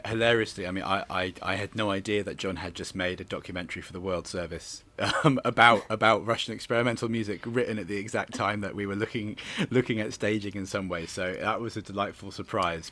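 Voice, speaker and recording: A man with a fairly low voice. He speaks as a director in a casual interview, chatting informally about a production. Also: pushed and bright.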